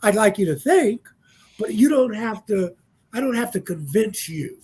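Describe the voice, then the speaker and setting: A man's voice: high voice, expressive, moderate pace, a little mellow, slightly rough. A man in his sixties, a panel guest speaking in a reflective, easygoing way on a casual radio talk show. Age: 60s.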